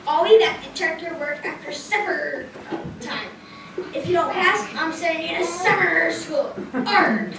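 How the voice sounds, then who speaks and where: Woman acting a high-voiced bossy pirate captain puppet. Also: a little slow. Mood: stern, bossy. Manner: commanding, barking orders. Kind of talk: children's puppet show acting. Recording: echoey hall, distant mic, noisy.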